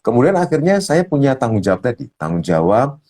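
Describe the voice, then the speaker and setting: A man speaking as a coach, explaining ideas step by step in a slow, thoughtful way with many fillers. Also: mid-range voice, big pitch swings, warm tone. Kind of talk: explaining ideas in a talk show.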